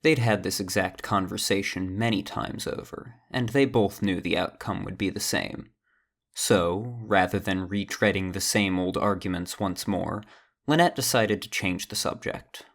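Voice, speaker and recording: A storyteller narrating a scripted fantasy audio drama. The voice is low and notably creaky. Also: unhurried.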